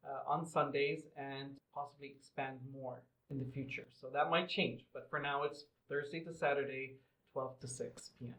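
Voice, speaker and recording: A middle-aged man from Lebanon and Qatar giving measured, explanatory answers as an interview guest. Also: mid-range voice, steady tone, fairly bright tone. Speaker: podcast guest, museum representative. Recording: roomy, echoing sound.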